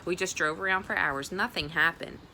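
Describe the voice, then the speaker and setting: A woman doing an anxious teenage girl, apologetic, quick, storybook reading. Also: on the low side, sharp tone, a little hiss or hum.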